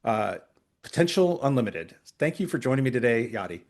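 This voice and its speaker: A man's voice: mid-range voice, sing-song, fairly quick. A man hosting a podcast, introducing his guest with a few small stumbles. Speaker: podcast host.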